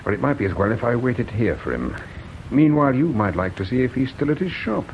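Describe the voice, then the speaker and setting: An actor playing a methodical police detective in a radio drama, speaking in a dry, understated, deliberate way. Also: male voice, mid-range voice, very animated. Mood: dry, thoughtful. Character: measured, dry, methodical male police inspector. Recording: dull, faintly hissy radio drama.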